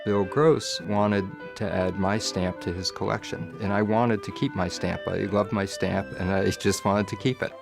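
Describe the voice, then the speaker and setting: Deep, gravelly man over guitar music. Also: steady tone, moderate pace, hardly any pauses. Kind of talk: anecdotal documentary interview.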